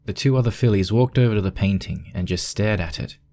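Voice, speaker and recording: A man's voice: lowish voice, a little fast, mellow, a little hiss or hum. A man reading scripted story narration as the narrator of a piece of fan fiction.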